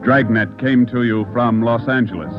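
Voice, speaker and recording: A man announcing formally in measured 1940s radio style. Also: medium pitch, fairly even intonation. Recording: muffled 1940s radio, loud music.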